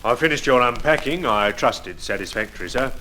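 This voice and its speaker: A captain's servant at sea. His voice is bright and sharp, his manner polite, careful and deferential. The period radio recording has a little noise. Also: medium pitch, animated, a little fast, poor audio. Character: dutiful, deferential navy captain's steward. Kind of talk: old-time radio drama acting. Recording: vintage radio with faint noise.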